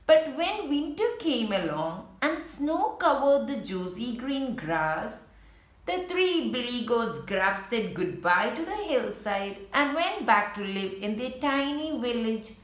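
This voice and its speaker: A woman's voice: fairly high pitch, very animated, slow pace, roomy sound. A woman reading a picture book aloud to young children, telling the story and pointing out the pictures as she goes. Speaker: children's story reader and channel host.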